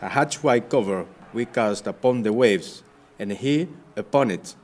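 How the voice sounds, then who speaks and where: A man's voice: lowish voice, measured pace, lightly acted. A man playing a proud, courtly Spanish sea captain, earnest and formal in a declamatory audio-play style. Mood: grieving.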